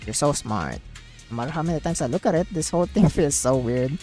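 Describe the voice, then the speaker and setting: Lower-voiced gushing woman game streamer. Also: fairly quick. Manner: gushing and excitable, exclaims and repeats herself. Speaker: streamer playing a video game. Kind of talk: live game stream commentary, reading dialogue aloud. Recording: background game music. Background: game music.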